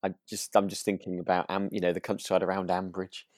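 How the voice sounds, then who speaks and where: A man's voice: sits low, level tone, fast, a little mellow, no room sound. English guy cohosting a chatty podcast, keeps rewording himself, really dry sound. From near Birmingham, England. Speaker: podcast co-host. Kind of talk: relaxed casual chat.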